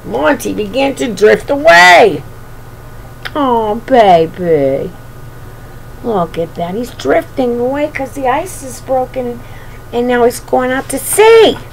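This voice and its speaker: A woman's voice: on the high side, animated, takes it slowly, creaky. An older woman reading a storybook aloud and chatting affectionately to young children. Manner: affectionate, talking to small children. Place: small bedroom indoors. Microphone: webcam at arm's length. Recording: noisy home webcam recording.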